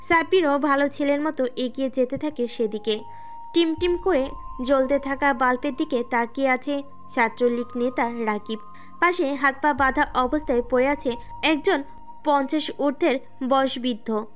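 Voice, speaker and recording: High-pitched woman narrating a story. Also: normal speed, soft background music.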